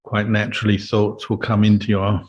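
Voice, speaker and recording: A man speaking as a meditation teacher, giving calm, measured guidance in a Buddhist talk. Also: lowish voice, breathy edge, dull audio. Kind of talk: guided meditation and Dhamma talk.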